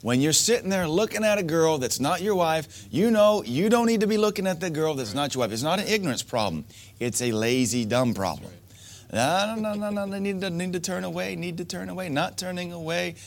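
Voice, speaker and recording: A man's voice: high voice, expressive, brisk, creaky. A man preaching a sermon to men, emphatic and repetitive, asking rhetorical questions. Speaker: pastor.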